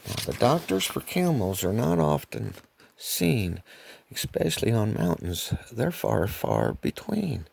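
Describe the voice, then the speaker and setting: An older man reading a children's story like a grandfather, animated and rambling, repeating phrases and ad-libbing, with a gravelly, husky voice. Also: medium pitch, unhurried.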